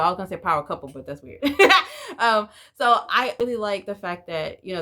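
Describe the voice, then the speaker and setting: A woman's voice: deep voice, gravelly, pushed and bright. A woman in her forties, a native Spanish speaker, chatting candidly to camera in a rambling vlog. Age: 40s. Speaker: vlogger. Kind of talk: casual talk to camera.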